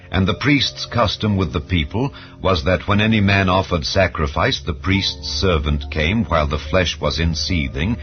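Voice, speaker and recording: A man narrating scripture as a dramatized reading, in a solemn, formal and measured storytelling manner. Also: low voice. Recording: muffled lo-fi audio, music bed. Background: background music.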